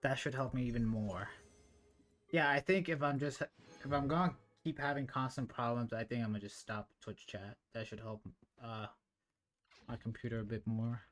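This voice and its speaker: A man live streaming a game with casual, rambling commentary, thinking out loud as he plays. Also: mid-range voice, fairly even intonation, moderate pace, faint music behind.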